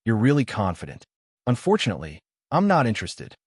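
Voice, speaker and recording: A man's voice: fairly low pitch, wildly swooping pitch, a little fast, slightly rough, no echo, clean studio sound, full character voice. Man playing a brash, wide-swinging young fantasy hero. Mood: scornful. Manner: exclamatory, scoffing, self-interested. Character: brash, cocky young male fantasy hero, mocking master.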